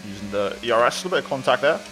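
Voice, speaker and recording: A man's voice: medium pitch, lively intonation, rapid-fire, background noise. A man giving casual, upbeat gameplay commentary as a video creator.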